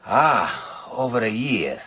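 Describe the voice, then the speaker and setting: An actor playing a deferential, hesitant hotel manager in a radio play, polite and halting. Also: male voice, mid-range voice, sing-song, pushed and bright, slightly rough, full character voice. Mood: deferential, uneasy.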